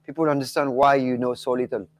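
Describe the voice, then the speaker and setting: A man's voice: medium pitch, steady tone, fast. A man co-hosting a casual chat from his home kitchen, teasing and cutting in on his partner. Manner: teasing, interrupting. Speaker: co-host.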